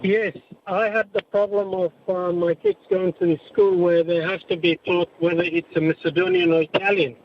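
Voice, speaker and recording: A man calling in to a radio show, speaking in halting, broken-up phrases. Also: sits high, slight background noise. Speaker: caller. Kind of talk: radio talkback call. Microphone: phone. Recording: muffled phone line.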